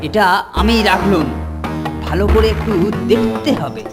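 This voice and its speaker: Bossy cartoon schoolboy schemer, greedy and boastful, very animated delivery female actor, music underneath. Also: mid-range voice, normal speed, natural voice. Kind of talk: Bengali children's cartoon dialogue.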